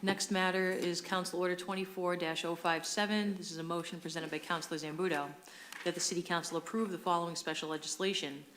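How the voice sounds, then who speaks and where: A woman's voice: lowish voice, steady tone, fast, a bit bright, a little hiss or hum. A woman acting as a meeting clerk, calling the roll and reading motions in a formal, matter-of-fact way. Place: indoor public council meeting room.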